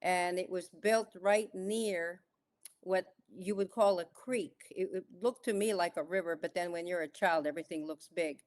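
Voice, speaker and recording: Woman from the Philippines, low voice. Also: steady tone. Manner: measured, thoughtful, frequent "uh" and "um" pauses. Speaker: guest author. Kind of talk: podcast interview storytelling.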